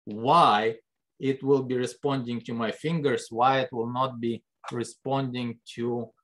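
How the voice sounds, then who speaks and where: Lively man lecturing. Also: measured pace. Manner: conversational, asks the class rhetorical questions; lively pitch jumps for emphasis. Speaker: biology lecturer. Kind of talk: recorded classroom lecture over slides.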